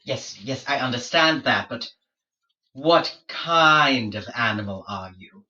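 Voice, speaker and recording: A pompous colonial explorer, voiced by a storyteller, pesters a creature with nervous excitement. The voice is bright and quick to jump up in pitch. It sounds roomy and a bit distant. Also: muffled recording. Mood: fussy. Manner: fussy, excitable. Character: pompous English explorer-scientist.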